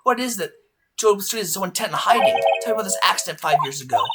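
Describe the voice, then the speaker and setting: A woman's voice: mid-range voice, animated, fast talker, over loud music, lightly acted. Woman playing an earnest young male lawyer. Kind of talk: video game fandub acting.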